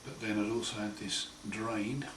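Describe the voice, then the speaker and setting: A man with a croaky, hoarse voice after throat surgery, talking slowly and wryly in a casual home video diary. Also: on the low side, fairly even intonation. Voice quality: hoarse, partly breathy. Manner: slow, wry, plain-spoken, often pausing. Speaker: patient sharing his recovery. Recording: roomy echo, far mic, noisy.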